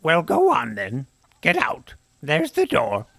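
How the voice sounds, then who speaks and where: A woman's voice: low-pitched, huge pitch swings, slow pace, vocal fry, noisy background, heavily acted. A woman playing a cranky, suggestive old village woman in a fantasy audio drama, with a cackly, accusing delivery. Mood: teasing, brusque. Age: older. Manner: accusing, teasing, brusque.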